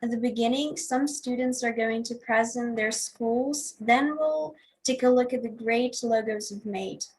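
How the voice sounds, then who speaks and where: A woman with a husky voice presenting a scripted welcome as the host of a ceremony. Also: a little high, steady tone, measured pace, few pauses, poor audio. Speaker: host of a school project ceremony.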